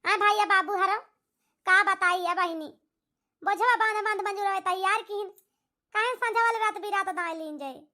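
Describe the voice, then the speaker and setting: A very high, bright voice acting a nagging, emphatic village woman with an Awadhi accent in a cartoon comedy. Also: normal speed, heavily acted. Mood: chatty, complaining. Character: scolding village Indian women of a cartoon family.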